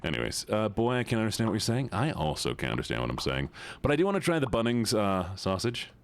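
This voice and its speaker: A man's voice: lowish voice, very animated, fast, voice pushed up. A man putting on an Australian accent to play a chatty, joking bloke who rambles and stumbles over his words. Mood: goofy. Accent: put-on Australian.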